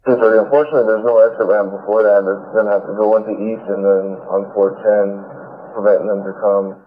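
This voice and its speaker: Fast-talking low-voiced man on police radio. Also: steady tone. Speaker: police officer in the field. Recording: tinny, narrow-band police scanner radio.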